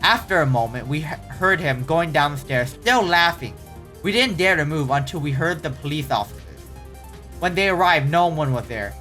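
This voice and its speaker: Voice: mid-range voice, animated, moderate pace, bright, forceful tone, faint music behind. A casual host reading online horror stories aloud, often stumbling and correcting the wording, with startled, exclaiming reactions in between.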